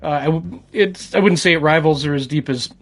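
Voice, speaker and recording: Guy co-hosting a gaming podcast, slightly high voice, just chatting casually. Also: brisk. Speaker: podcast co-host. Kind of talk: casual chat about video games.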